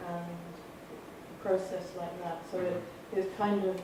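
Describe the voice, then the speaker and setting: A woman, a film director, answering an audience question with frequent pauses and fillers. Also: fairly low pitch, little pitch movement. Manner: hesitant, filler-heavy. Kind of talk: festival Q&A answer. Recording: echoey hall, distant mic, noisy.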